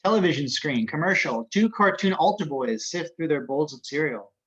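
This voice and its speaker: Highish-voiced man narrating, dull lo-fi audio. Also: animated, normal speed, fairly bright tone. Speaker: stage direction reader. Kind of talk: scripted narration.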